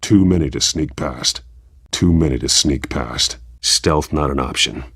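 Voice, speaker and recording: Here is a grim male vigilante from a video game. His voice is extremely deep and full of gravel. He speaks in terse commands with wide swings in pitch, recorded dry and close. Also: unhurried, fairly bright tone, dry recording, full character voice. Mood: tactical, controlled. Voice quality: gravelly, husky. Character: grim, driven masked male vigilante and wealthy crusader.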